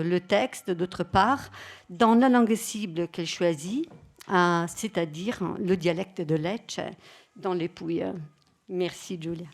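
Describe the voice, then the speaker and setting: A woman's voice: on the low side, gravelly, a little mellow. A woman introducing a conference speaker, hesitant, with frequent fillers. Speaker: session chair.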